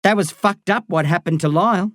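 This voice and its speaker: Guy doing a cocky aussie thug, way higher than his normal voice, loud and swaggery, swears a lot. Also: fairly quick, no room sound, clean studio sound. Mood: serious. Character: volatile young male drug boss, menacing and mocking. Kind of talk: audiobook character voice.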